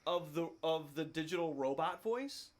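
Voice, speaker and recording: A man's voice: fairly high pitch, animated, moderate pace. A man co-hosting a podcast in casual chat, eager and agreeable, jumping in with new ideas. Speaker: podcast co-host.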